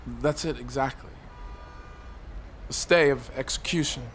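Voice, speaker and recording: An actor playing a despairing, agitated man perched on a high ledge in an audio drama, rambling on and given to outbursts. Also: unhurried, natural voice. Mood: wistful, rambling. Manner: rambling, wistful, bursting into shouts. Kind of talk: audio drama monologue and dialogue. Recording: faint city traffic noise behind.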